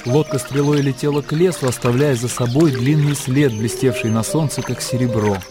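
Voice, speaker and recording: A male radio drama narrator reads literary prose. His voice is medium in pitch and measured, in a storytelling manner. Music plays behind him. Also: steady tone.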